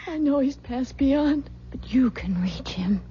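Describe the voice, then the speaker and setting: An actress playing a haunted, desperate young pregnant widow in a radio mystery drama, intense and pleading. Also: female voice, sits high, lively intonation, unhurried, dark tone, a little hiss or hum. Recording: dull old radio recording, music behind.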